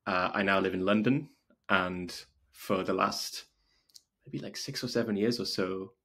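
Husky, creaky man from North Wales. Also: low-pitched, measured pace, sharp tone. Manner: informal, frequent "like" as filler. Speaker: podcast guest, video maker and documentary filmmaker. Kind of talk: casual interview chat.

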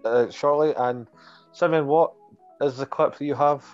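A young man co-hosting a casual podcast over a video call, steady and moderating, keeping the show moving. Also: a little high, moderate pace, muffled recording, faint music behind. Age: young adult. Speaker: podcast co-host. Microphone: laptop webcam on video call.

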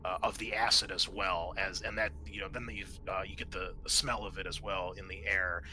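A man acting as game master, narrating a tabletop game in a halting, conversational way. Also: deep voice, flat delivery, bright, forceful tone, a little hiss or hum, poor audio.

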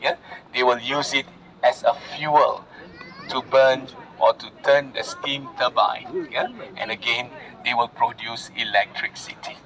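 A man's voice: mid-range voice, fairly even intonation, measured pace. A man guiding a walking tour, explaining to a group and often checking in with short tag questions. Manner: explanatory, often checks in with "yeah?" and "all right?". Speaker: tour guide. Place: outdoors in a public garden at night. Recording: noisy outdoor phone recording.

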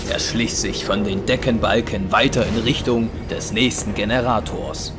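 Male narrator for audio drama: lower register, scripted storytelling, music underneath. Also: fairly even intonation, normal speed.

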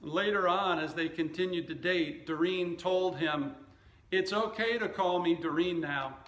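A middle-aged man preaching a sermon as a pastor, speaking in measured, deliberate short phrases. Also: sits high, a bit bright, roomy sound. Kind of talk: sermon with scripture reading and anecdotes. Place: indoor church stage at a wooden lectern.

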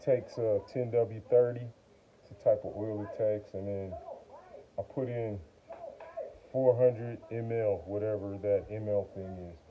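A middle-aged man giving a casual, halting how-to in folksy slang while working outside, recorded on a phone camera. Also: lowish voice, steady tone, phone-quality sound, crowd noise. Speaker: vlogger demonstrating generator maintenance. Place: outside by a wooden porch. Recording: noisy outdoor phone recording.

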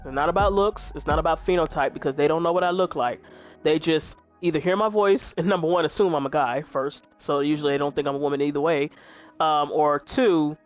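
Deep-voiced woman in her twenties, frank. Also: fairly quick, faint music behind. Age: 20s. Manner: frank, chatty, talks straight to viewers. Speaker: gaming YouTuber. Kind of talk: candid chat while playing a video game.

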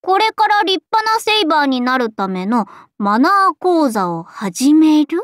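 Playful young anime knight boy, very high pitch, bright, bubbly, exclamatory, dry studio sound. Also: big pitch swings, unhurried, dry recording, exaggerated voice. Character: cheerful, scatterbrained androgynous young male knight. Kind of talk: anime game character acting.

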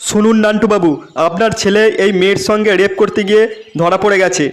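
A man's voice: high-pitched, steady tone, quick pace, natural voice. A man voicing a self-assured village council head in a comedy cartoon, formally pronouncing judgement. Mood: solemn.

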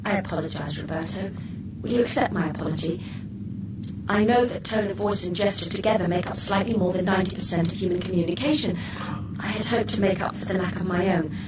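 An actress playing a poised, precise artificial intelligence with a sly streak, speaking formally and exactly in a radio drama. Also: female voice, mid-range voice, close to an everyday voice. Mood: composed, cool. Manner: formal, measured, exact phrasing. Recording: roomy, slightly distant, clear background noise.

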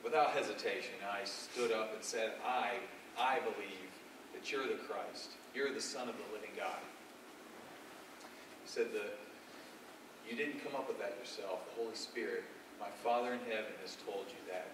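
Heard from far off through clear noise, this is an earnest, humbled fisherman apostle played by a man on stage. His low voice delivers a dramatic monologue haltingly, pausing to reflect and then leaning hard on his words. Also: natural voice. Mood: awed, reverent. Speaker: stage actor. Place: dark theatre stage, recorded from the audience. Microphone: far camera. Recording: distant, echoey, noisy stage recording.

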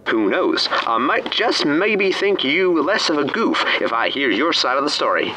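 A man's voice: fairly high pitch, very animated, a little slow. A man playing a nosy, teasing space freighter pilot with sardonic, florid delivery in a sci-fi comedy audio drama. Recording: clear background noise, engine-like ambience.

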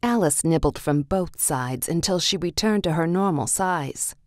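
A woman's voice: on the low side, animated, a little slow, darkish tone, no room sound, clean studio sound. A woman narrating a children's read-along storybook in a scripted, story-reading style.

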